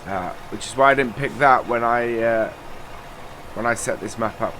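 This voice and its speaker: A man's voice: medium pitch, normal speed, sharp tone, slight background noise, rough recording. A man with a nasal, stuffed-up voice from a cold, rambling through casual let's-play commentary with lots of fillers. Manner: chatty, rambling, many uh fillers. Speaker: gaming channel host.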